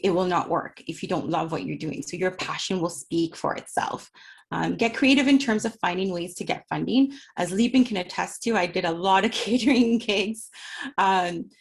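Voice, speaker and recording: A woman's voice: lowish voice, creaky. A middle-aged woman business owner speaking remotely at a virtual event, telling her story in a chatty, personable way with lots of "you know" fillers. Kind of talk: conversational talk about her business journey. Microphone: remote call for a virtual event.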